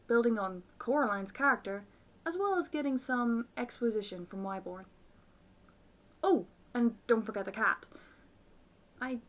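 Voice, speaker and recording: Higher-voiced woman reviewing a film. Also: poor audio. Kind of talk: scripted review commentary with acted intro skit.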